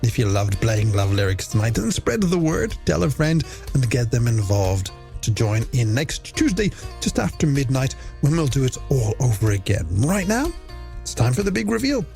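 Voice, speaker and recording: A man's voice: mid-range voice, very animated, normal speed, gravelly, a little mellow. A man presenting a late-night music radio show, chatting playfully and directly to listeners. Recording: radio broadcast over music bed.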